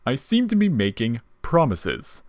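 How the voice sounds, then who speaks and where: A man voicing a wry, laid-back, quietly protective skeleton in an audio story, with casual slangy talk. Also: medium pitch, wildly swooping pitch, a little slow, no room sound, clean studio sound, pitched above the performer's own voice. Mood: joking. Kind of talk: dramatic audio fiction character reading.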